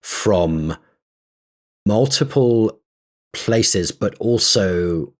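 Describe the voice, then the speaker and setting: A man's voice: lowish voice, expressive, extremely slow. A man co-hosting a casual podcast, explaining ideas at length while thinking aloud, with frequent restarts and small laughs. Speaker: podcast co-host.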